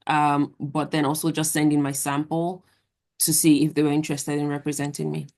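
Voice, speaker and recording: Low flat-voiced young woman talking about her writing, measured, lots of ums. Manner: measured, thoughtful, with fillers. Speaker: author, guest. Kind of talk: casual interview answers.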